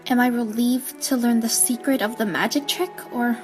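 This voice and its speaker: A woman's voice: fairly high pitch, steady tone, faint music behind, natural voice. A woman playing an anxious, fragile schoolgirl in an audio drama, speaking hesitantly and narrating her own story. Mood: pensive. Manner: hesitant, halting. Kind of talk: anime-style audio drama acting.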